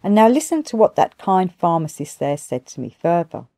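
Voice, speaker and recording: A woman narrating a literary audiobook in a measured, formal, deliberate storytelling manner. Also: fairly low pitch, very animated, mellow, no echo. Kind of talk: scripted literary narration, storytelling read aloud.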